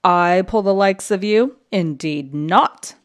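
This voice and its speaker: A woman voicing a vain, snobbish male passenger engine in a children's storybook, haughty and drawn-out. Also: on the low side. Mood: haughty, scornful.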